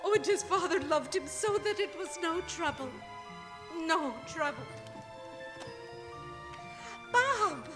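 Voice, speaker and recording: A woman acts out a loving, resigned mother in a stage radio play. She speaks very high and slowly, full of sorrow. Music sits behind her in a reverberant hall. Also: animated. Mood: mournful. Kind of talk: stage radio drama acting.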